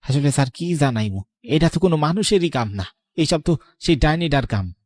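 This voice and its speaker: A man's voice: on the high side, expressive, fairly quick, a little mellow, no room sound, studio quality, natural voice. Man voicing an earnest villager. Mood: cautioning. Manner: earnest, cautioning. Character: earnest, superstitious village man wary of witches. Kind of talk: horror cartoon dubbing.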